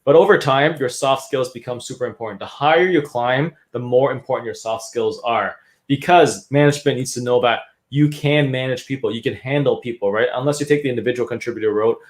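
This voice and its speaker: A man's voice: medium pitch, brisk, hardly any pauses. A man from Canada, a guest expert explaining career change in an interview. He talks things through with restarts and frequent tag questions. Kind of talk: interview talk.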